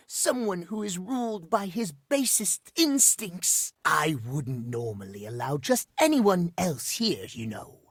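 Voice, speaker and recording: A man playing a tightly wound, fastidious gentleman in a video game, with formal, earnest, theatrical phrasing. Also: high-pitched, husky, no room sound, clean studio sound, heavily acted. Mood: anxious, confessional. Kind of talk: video game character acting.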